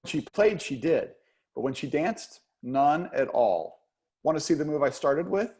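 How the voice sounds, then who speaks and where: A man's voice: on the high side, lively intonation, a little slow, darkish tone. A man reading a play as a charming, patient, flirtatious dance teacher who teases and encourages his pupil. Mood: chatty, playful. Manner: teasing, encouraging. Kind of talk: play reading, romantic comedy.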